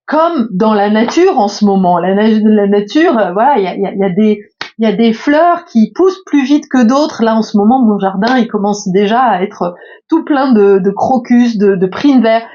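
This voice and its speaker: A woman's voice: medium pitch, animated, moderate pace, warm tone, highs cut off. A French woman in her fifties, a spiritual coach speaking casually from her bedroom, hesitant with many fillers and restarts. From France. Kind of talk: casual reflective monologue.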